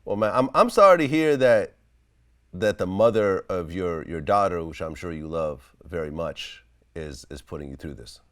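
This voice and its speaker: A man working as an interviewer, asking questions casually and piecing them together in a halting way. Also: low voice, lively intonation, fairly bright tone.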